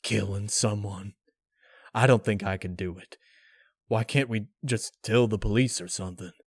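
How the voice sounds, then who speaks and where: A big, plain-spoken stallion from a dark storybook , acted by a man. He is stern but gentle, speaking low and gravely with folksy phrasing. The sound is dry, with no room to it. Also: steady tone, moderate pace, no echo. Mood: reluctant, resigned.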